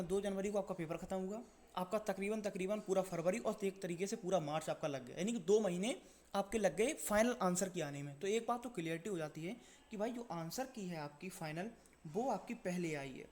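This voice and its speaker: A man's voice: high voice, a little mellow. A man presenting an exam-update video in an informal explainer style, repeating his points and answering his own questions.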